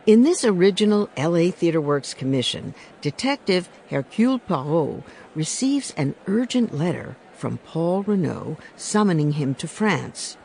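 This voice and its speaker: A male announcer reads a scripted introduction. His voice is slightly high, lively and gravelly with creak, over clear background noise. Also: unhurried, hardly any pauses.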